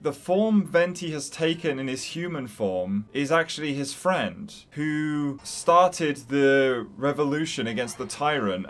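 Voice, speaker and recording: A young man giving casual game reaction commentary, earnest and enthusiastic, recorded close at a desk. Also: mid-range voice. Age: young adult. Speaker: gaming YouTuber.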